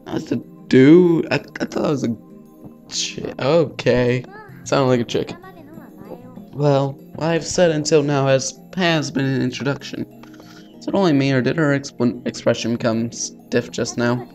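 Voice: medium pitch, measured pace, a little mellow, faint music behind. A let's player reading visual novel text aloud in an informal way, stumbling over words and dropping in casual asides.

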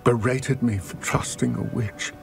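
An actor playing a weary, solemn goblin recounting his past in a game cutscene, with measured, somber delivery. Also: mid-range voice, fairly even intonation, vocal fry, faint music behind. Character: weary old male goblin, solemn and grave. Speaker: game character.

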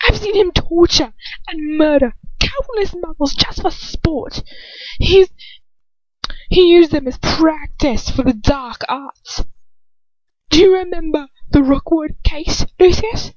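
A woman reading aloud and voicing a cruel, deranged male dark wizard who sneers and hisses, in a dull, dry recording. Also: slow pace, no echo. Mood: mocking. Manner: shrill, sneering, hissing. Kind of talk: amateur fan fiction reading. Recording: dull muffled sound.

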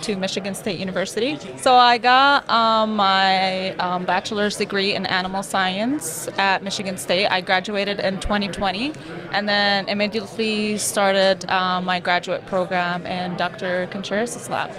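A woman's voice: medium pitch, flat delivery, moderate pace, crowd noise. A woman in her twenties from Lebanon, a graduate student explaining her research in a podcast interview, with many ums and restarts. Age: 20s. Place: conference hall booth with busy crowd behind. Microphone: table mic on stand, wearing headphones.

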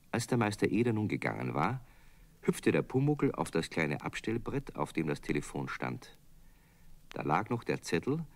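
A man's voice: sits low, heavily acted. A man narrating a scripted children's radio play as its storyteller.

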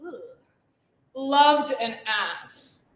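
A woman's voice: sits high, extremely slow, fairly bright tone, echoey room, full character voice. A woman performing a mischievous sprite in a live Shakespeare monologue, giggling and sing-song. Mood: gleeful. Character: mischievous sprite Puck, gleeful trickster.

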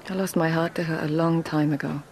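An actress playing a witty, composed and devoted court lady in a radio drama, speaking in a hushed, polite, restrained manner. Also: low-pitched, steady tone, fairly quick, a little hiss or hum. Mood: tender. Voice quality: husky, hushed. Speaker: actor.

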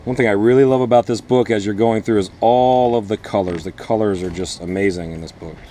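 A man in his thirties, a teacher, talking casually and a bit haltingly to camera as he explains things for families. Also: on the low side, warm tone, slight background noise. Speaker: teacher and parent making a family vlog. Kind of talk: casual talk to camera and children's read-aloud. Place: small plastic-covered nursery greenhouse.

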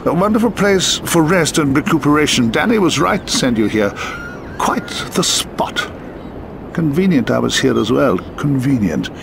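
An actor playing a weary, urbane old spymaster in a video game, musing theatrically and cryptically in drawn-out phrases. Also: male voice, on the high side, lively intonation, vocal fry, background noise. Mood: wistful. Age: older. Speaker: mysterious spymaster. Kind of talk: video game cutscene dialogue and narration.

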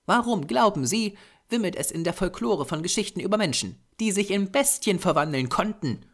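A small, lean Japanese doctor of the occult , acted out by a man reading an audiobook. The voice is raised high and moves about a lot. He lectures patiently and formally, with earnest insistence. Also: animated, normal speed, fairly bright tone, exaggerated voice. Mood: insistent. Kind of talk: audiobook character voice.

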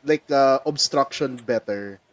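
A man's voice: mid-range voice, a little slow, dry recording. A man in a casual panel discussion on a video call, chiming in with short comments. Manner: short interjections, chimes in. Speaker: motoring panelist.

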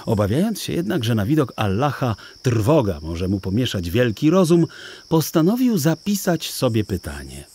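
A man narrating a children's audiobook in a theatrical, deliberate storytelling style. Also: medium pitch, sing-song, warm tone, husky.

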